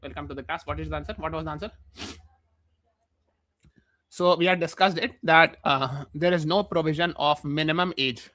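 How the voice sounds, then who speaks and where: A man's voice: a little high, steady tone, moderate pace, pauses often, pushed and bright, no room sound. A man with an Indian accent teaching a live online exam class while mildly feverish, explaining points repeatedly and checking with questions.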